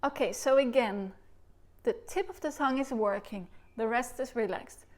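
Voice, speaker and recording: A woman in her thirties with a Dutch accent, teaching recorder technique from her sofa and sounding out the syllables slowly as she demonstrates. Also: fairly high pitch, a little mellow. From Netherlands. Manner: demonstrates syllables by singing and speaking them slowly. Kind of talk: instructional video lesson. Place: living room, seated on a sofa.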